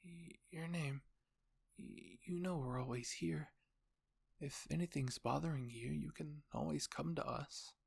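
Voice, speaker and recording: A man voicing a worried young male soldier in a roleplay story, gentle and earnest with his friend. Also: on the high side, a little slow, creaky, slightly rough, voice pushed up, heavily acted. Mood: gentle, reassuring.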